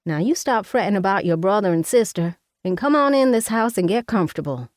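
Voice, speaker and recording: Woman doing a loud mama voice, high and fussy, scolding, folksy, dry recording. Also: lively intonation, a little fast, dry recording, lightly acted. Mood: fussing. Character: fussy, outspoken older Southern mother.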